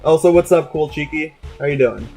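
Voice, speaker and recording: A man with a slightly high voice streams a game and talks to his chat. His delivery is informal and conversational, apologetic and quick to put himself down. Music plays behind him. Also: vocal fry, mellow. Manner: informal, apologetic, self-deprecating. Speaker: livestreamer host. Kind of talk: casual gaming stream chat.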